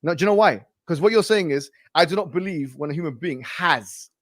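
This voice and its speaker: A man's voice: on the high side, expressive, a little fast, dry recording, studio quality. A man arguing in a heated debate, combative and insistent, often repeating himself and cutting in. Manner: insistent, combative, repetitive, interrupting.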